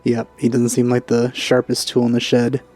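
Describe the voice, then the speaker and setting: A man with a dark, mellow voice of medium pitch does casual gameplay commentary. He sounds laid-back and wry, with faint music behind him. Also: monotone, moderate pace. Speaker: let's play commentator.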